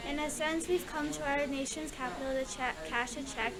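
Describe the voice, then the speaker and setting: A female student reading a speech aloud haltingly, stumbling over words. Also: high voice, steady tone. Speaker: student reader. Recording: loud crowd chatter behind.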